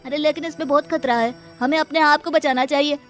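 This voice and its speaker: A woman's voice: high voice, fairly quick, faint music behind, voice pushed up, close to an everyday voice. Cartoon slum neighbor man, alarmed and cautious, played by a woman. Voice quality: excited, fearful.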